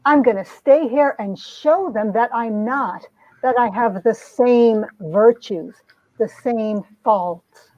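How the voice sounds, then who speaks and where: A woman's voice: fairly high pitch, slow pace, no room sound. A woman playing a wounded, proud young heroine, narrating and acting out a romance comic with earnest melodrama. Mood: resolute. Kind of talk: dramatic reading of a 1950s romance comic, narration and dialogue. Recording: dull, lo-fi sound.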